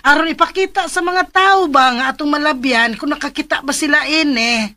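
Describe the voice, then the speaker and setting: Fretful filipino auntie, cebuano accent, high bright voice, radio drama. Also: female voice, a little fast. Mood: flustered. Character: fretful aunt helping search, homely older relative. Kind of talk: Philippine radio drama acting.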